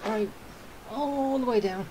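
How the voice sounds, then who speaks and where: A woman's voice: a little high, slow pace, mellow, slight background noise, rough recording. A woman gamer giving casual let's-play commentary in short offhand remarks as she follows a story quest. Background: game music.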